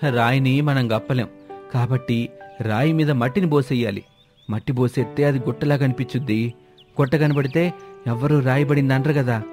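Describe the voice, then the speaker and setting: Man acting a self-important silly villager, average pitch, confident rustic talk, storybook tale, background music. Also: fairly even intonation, moderate pace, darkish tone, close to an everyday voice. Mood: confident, scheming. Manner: confident, boastful, colloquial rustic speech. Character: boastful, foolish village man full of silly schemes.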